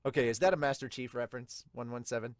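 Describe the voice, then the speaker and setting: A man's voice: medium pitch, steady tone, fast, no room sound, studio quality. A man hosting a podcast in a casual, chatty way, giving intro talk and reacting aloud as he goes. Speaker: podcast host, manga dubber and reviewer.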